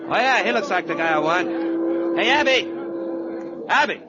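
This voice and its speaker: A man's voice: high voice, expressive, unhurried, over loud music. An actor playing a bossy, impatient gangster with slangy American tough-guy talk in 1940s radio drama style. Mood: brisk, eager. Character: rough, scheming male gangster, bossy and impatient. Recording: muffled, very noisy vintage radio recording.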